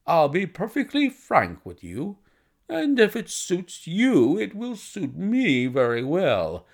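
A male reader acts out a blunt, wheedling gentleman with money to invest, in storybook style. The delivery is emphatic and slowly stretched, with a gravelly creak and big swings in pitch. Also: wildly swooping pitch, exaggerated voice. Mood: bustling, persuasive. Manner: brisk, abrupt, emphatic, drawn-out stressed words. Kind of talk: audiobook character voice.

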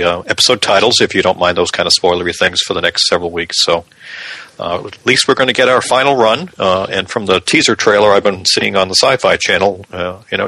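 A male podcast co-host with a low, bright voice. He explains news in casual conversation, and the recording is close and dry. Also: gravelly, no room sound, poor audio.

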